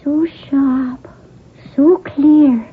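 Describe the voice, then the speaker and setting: An actress playing a fearful, dreamy young bride-to-be, breathless and theatrical, in a radio drama. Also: female voice, high voice, fairly even intonation, drawn-out words, narrow, tinny audio. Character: frightened, dreamy young Irish noblewoman, promised bride. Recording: band-limited old radio recording.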